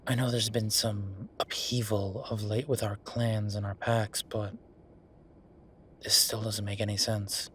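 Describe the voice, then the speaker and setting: Guy acting as tired sardonic young werewolf bf, lowish voice, halting delivery, intimate rp audio, some background noise. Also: flat delivery, a bit bright. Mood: tired, frustrated. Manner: low-key, sardonic, halting, sighing. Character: weary, wry younger werewolf boyfriend working late. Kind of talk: intimate roleplay audio drama.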